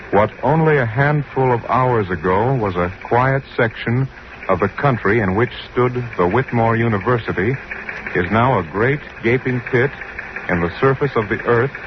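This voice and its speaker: A man's voice: mid-range voice, muffled recording, lightly acted. Actor as grave newsman on noisy vintage radio. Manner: formal, dramatic, measured announcing. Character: grave male radio news reporter at disaster scene. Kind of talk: 1940s radio newscast acting.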